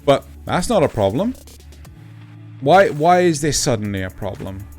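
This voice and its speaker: A man's voice: mid-range voice, sing-song, moderate pace, darkish tone, soft background music. A man from Wales with a Kent English accent, co-hosting a casual podcast chat and talking emphatically, often asking "right?". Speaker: podcast co-host.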